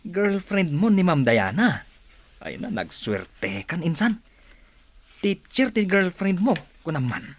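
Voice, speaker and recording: A man acting in a radio drama, playing a boisterous, husky-voiced cousin and drinking buddy. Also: high-pitched, big pitch swings, takes it slowly, dry recording, full character voice. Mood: amazed.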